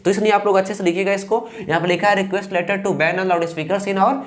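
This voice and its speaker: Young guy w eastern up hindi accent teaching, slows down on english bits, says theek a lot. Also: high voice, fairly even intonation. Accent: Hindi speaker from eastern Uttar Pradesh. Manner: slow and careful when reading English lines, fillers "ठीक" between steps. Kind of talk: explaining a lesson.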